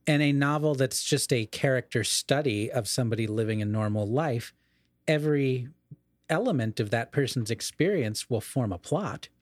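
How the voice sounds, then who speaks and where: A man in a conversational podcast discussion of literature, explaining in an erudite way and halting mid-phrase as he works out his points. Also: mid-range voice, no room sound, studio quality.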